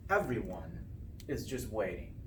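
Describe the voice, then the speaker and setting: A man reading a rhyming children's storybook aloud with expressive, sing-song phrasing and drawn-out line endings. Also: on the low side. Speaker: school principal reading a storybook. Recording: distant mic with faint background noise.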